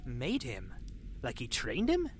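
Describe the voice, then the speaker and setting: A woman playing a curious, wry character who casually interjects while hearing a dark fantasy tale. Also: rumbling low, huge pitch swings, slow, slight background noise, heavily acted. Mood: curious, appalled. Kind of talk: visual novel character acting.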